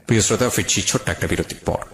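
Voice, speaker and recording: A man's voice: deep voice, rapid-fire, creaky, a bit bright, slight background noise. A man with a Bangladeshi accent presenting a radio show as its host.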